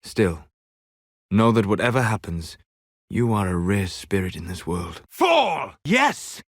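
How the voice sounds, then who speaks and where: A man playing a calm, scholarly, melancholy elven mage in a video game, speaking in deliberate, formal phrases. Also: on the low side, dry recording, studio quality, heavily acted. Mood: urgent, forceful. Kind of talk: scripted video game character dialogue.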